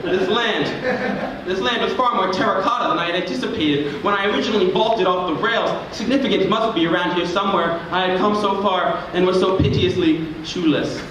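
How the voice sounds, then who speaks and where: A man performing spoken word in a theatrical, dramatic and deliberate way, as a poet on stage. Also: high voice, distant mic. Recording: echoey, noisy room recording from afar.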